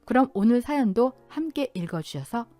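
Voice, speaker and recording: A woman's voice: fairly high pitch, expressive, normal speed, mellow, no room sound, faint music behind. A woman in her thirties reading a first-person family revenge story as a scripted narration, telling it in a dramatic, emotional way.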